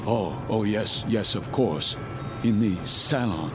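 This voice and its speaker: A man's voice: on the low side, animated, takes it slowly, background noise. A man acting in a radio drama as a stern, haunted German U-boat captain. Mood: dazed, confused. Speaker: actor.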